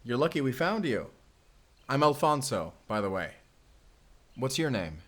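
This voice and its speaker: An actor playing a wary, blunt young Spanish vampire slayer in an audio roleplay drama. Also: male voice, mid-range voice, big pitch swings, a little slow, fairly bright tone. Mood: guarded, businesslike. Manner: blunt, guarded. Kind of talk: cinematic audio roleplay acting.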